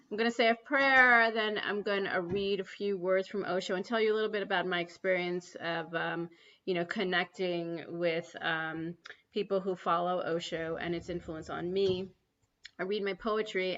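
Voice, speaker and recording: New woman, spiritual livestream host, earnest and halting, bright tone, muffled stream audio. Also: fairly low pitch. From New York City. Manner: earnest, many ums and restarts. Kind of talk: spiritual livestream talk and guided prayer. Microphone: computer mic on a livestream.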